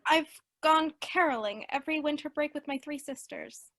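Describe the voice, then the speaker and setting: Woman playing a bright, high-voiced eager teenage girl. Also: normal speed, no room sound. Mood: nervous, eager. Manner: earnest, youthful. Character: eager, earnest eighteen-year-old girl, an aspiring singer. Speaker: actor in a radio play. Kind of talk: radio play acting.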